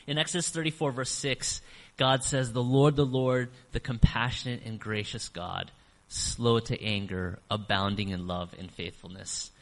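A middle-aged man preaching a sermon as pastor, earnest, slowing down to stress key phrases. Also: mid-range voice, steady tone, fairly bright tone. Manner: earnest, varies emphasis, slows on key phrases. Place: church sanctuary. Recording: long reverb of a church hall.

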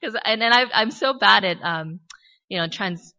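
Woman pastor, American accent, bright, clear voice. She preaches conversationally, asks searching questions and adds wry asides. Muffled, lo-fi sound. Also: mid-range voice, expressive, moderate pace, big-room sound. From born in Korea, raised in the US. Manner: reflective, asks many questions, light humorous asides. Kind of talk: conversational sermon. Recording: dull lo-fi recording.

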